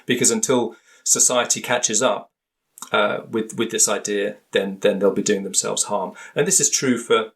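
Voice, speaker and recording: A low-pitched man with a gravelly, creaky voice talks things through in an interview. He pauses, restarts and searches for words as he explains. He sounds like a guest reflecting as he goes. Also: steady tone. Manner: hesitant, many restarts and fillers. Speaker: linguist guest. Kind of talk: thoughtful interview talk.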